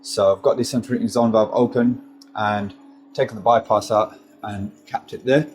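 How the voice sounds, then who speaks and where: A man's voice: lowish voice, steady tone, moderate pace, a little mellow, slight background noise. A gas engineer with a stuffy nose from a cold, chatting to his phone as he explains his jobs step by step. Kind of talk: casual work vlog to camera. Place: customer's house. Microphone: handheld phone.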